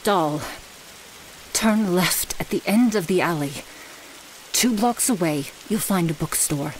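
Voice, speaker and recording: A woman's voice: lowish voice, slight background noise, low-quality recording. A woman acting an elegant, menacing vampire mistress in an audio roleplay, speaking theatrically with touches of French. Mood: urgent. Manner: slow, theatrical, sprinkles French phrases.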